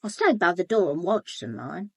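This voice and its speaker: An actress playing a gossipy, blunt English housekeeper in a British radio drama, chatty, colloquial and confiding. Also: female voice, fairly low pitch, very animated, normal speed, a little mellow, husky, dry recording, full character voice. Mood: knowing, gossipy. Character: gossipy, blunt, sharp-eyed housekeeper, a working-class woman. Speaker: actor in a radio murder mystery.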